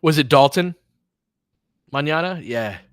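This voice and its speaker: A man's voice: on the high side, expressive, a little slow, smooth, no room sound, clean studio sound. A man doing live audio play-by-play of basketball, mixing conversational analysis with replies to listeners as he thinks aloud. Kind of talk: live basketball commentary mixed with casual analysis and chat replies.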